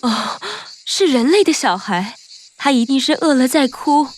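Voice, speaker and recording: A woman's voice: high-pitched, expressive, a little slow, faint music behind. An actress playing a protective, loving mother wolf, tender but resolute, in a children's cartoon story. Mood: caring.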